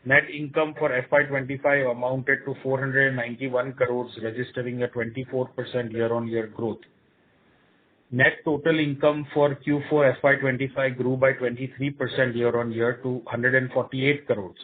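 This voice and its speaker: A man with an Indian accent speaks as a company executive on a results call. His voice is husky, and it comes through a narrow, phone-like conference line. The delivery is formal and measured. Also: mid-range voice, flat delivery. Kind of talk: earnings call presentation and answers.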